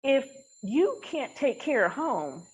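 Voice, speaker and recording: A woman's voice: high-pitched, very animated, slow, breathy edge, rough recording. A woman hosting a casual, faith-centred podcast conversation in an informal, emphatic, colloquial way. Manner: informal, emphatic, uses everyday colloquial phrasing. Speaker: podcast host.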